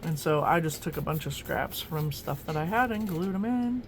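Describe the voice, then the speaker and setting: A woman's voice: low-pitched, lively intonation, normal speed, slight background noise. A woman from Oklahoma chatting casually as she flips through her journal, rambling with lots of ums and restarts. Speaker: journaling vlogger.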